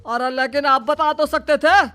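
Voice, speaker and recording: A greedy, aggrieved man in a cartoon moral story, put on by a woman. The voice is very high, whiny and exclamatory, with faint music underneath. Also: a little slow. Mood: indignant. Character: greedy, whiny, indignant man of a duped family. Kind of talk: animated moral story dialogue.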